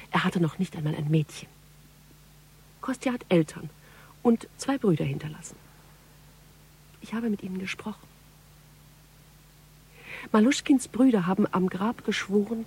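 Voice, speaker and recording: An actress playing a serious, determined police investigator who speaks insistently in a radio crime drama. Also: female voice, medium pitch, a little mellow, a little hiss or hum, natural voice. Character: determined female police investigator, earnest and pressing.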